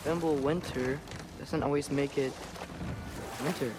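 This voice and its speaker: A man's voice: mid-range voice, steady tone, a little slow, heavy background noise, lightly acted. An actor playing a curious, earnest teenage boy in a video game, with a casual, questioning manner. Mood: curious, prodding. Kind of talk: video game drama acting.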